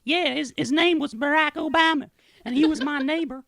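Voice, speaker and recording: A woman putting on a high crude Southern farmer. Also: normal speed, vocal fry, a bit bright. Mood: aggrieved. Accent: Southern US put-on. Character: weird scary Southern farmer man, crude.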